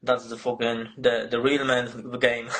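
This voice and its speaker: Polish fighter with flat halting English. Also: male voice, medium pitch. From Poland. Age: 20s. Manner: halting, broken English with many fillers and profanity. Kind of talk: interview answers.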